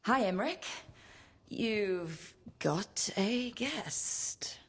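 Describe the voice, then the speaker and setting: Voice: medium pitch, expressive, extremely slow, slightly rough, exaggerated voice. An actor playing an easygoing, wry adventurer in a video game, speaking casually and plainly. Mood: wary, casual. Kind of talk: video game dialogue acting. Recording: music behind the voice.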